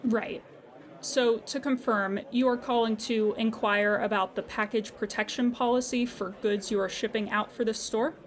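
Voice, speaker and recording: A woman playing a patient, courteous insurance customer service agent in a scripted audio comedy, speaking formally and with measured politeness. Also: on the high side, steady tone, close to an everyday voice. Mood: polite, businesslike. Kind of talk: scripted comedy audio drama phone call.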